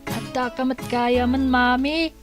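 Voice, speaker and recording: A woman's voice: sits high, steady tone, a little slow, over music. A Filipino woman playing a curious, naive child in a radio drama. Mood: curious, amazed.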